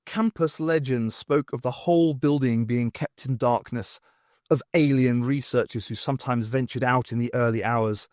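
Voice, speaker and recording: A man reading fiction as an audiobook narrator, with expressive scripted delivery. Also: medium pitch, dry recording, studio quality.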